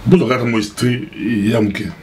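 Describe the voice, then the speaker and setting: Male commentator, Congolese accent, middle pitch, rambling and repetitive delivery, slight noise. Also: steady tone, normal speed, darkish tone. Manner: rambling, repetitive, mixes two languages. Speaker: pastor and political commentator. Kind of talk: casual political commentary.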